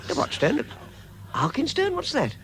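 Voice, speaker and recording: An actor playing a small, nervous but cheeky hobbit burglar in a vintage radio drama, stammering and excitable. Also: male voice, extremely high pitch, normal speed, exaggerated voice. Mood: earnest, urgent. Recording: reverberant hall, noisy vintage radio recording.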